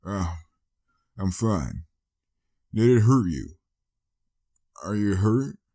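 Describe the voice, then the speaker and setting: A man voicing a brave unicorn stallion in a storybook reading, gruff, strained and urgent. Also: fairly low pitch, lively intonation, extremely slow, warm tone, pitched above the performer's own voice, full character voice. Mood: dazed, weak.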